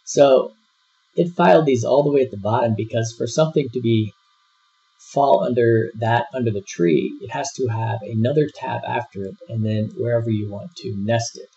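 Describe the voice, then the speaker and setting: A man giving a screen-recorded software tutorial, walking through each step in a relaxed way with frequent fillers. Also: mid-range voice, moderate pace, vocal fry, slightly rough. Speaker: software instructor. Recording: dull lo-fi voice-over.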